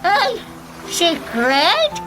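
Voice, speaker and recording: A woman's voice: very high voice, big pitch swings, extremely slow, heavily acted, low-quality recording. A woman playing a dotty, childlike old grandmother in a radio comedy, talking in a whimpering, wheedling way. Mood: plaintive, childlike. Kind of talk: broad radio drama comedy acting. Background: music.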